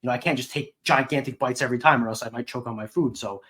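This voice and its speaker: Fast-talking man, casual podcast co-host. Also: mid-range voice, steady tone, a bit bright, slightly rough. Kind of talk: casual chat.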